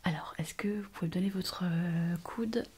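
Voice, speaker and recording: A woman playing a gentle, hesitant doctor in a whispered ASMR medical roleplay, reassuring and pausing often. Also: lowish voice, slight background noise, close to an everyday voice. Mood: attentive. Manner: soft, hesitant, reassuring, with many pauses. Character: gentle, hesitant female hospital doctor examining a patient.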